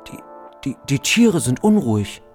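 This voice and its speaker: An actor plays a village man running errands in a comic audio drama. He is hesitant and a bit gruff, with a mid-pitched voice that moves up and down a lot. Faint music sits behind him. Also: sing-song, normal speed, close to an everyday voice. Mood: nervous. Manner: stammering, hesitant, then gruff. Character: Lutz, nervous, brusque male village errand runner.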